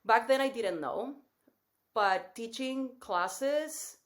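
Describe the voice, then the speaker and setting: A woman in her forties from Mexico with a Mexican Spanish accent, a guest telling her story in a lively way on a podcast. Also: fairly high pitch, slow. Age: 40s. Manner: lively storytelling with frequent laughs. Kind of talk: podcast interview.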